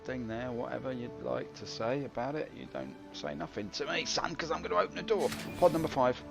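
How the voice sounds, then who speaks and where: A man's voice: mid-range voice, lively intonation, brisk, gravelly. A man streaming live gameplay, grumbling wryly and poking fun at himself as he plays. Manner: grumbling, wry and self-mocking. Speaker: game streamer. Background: game music.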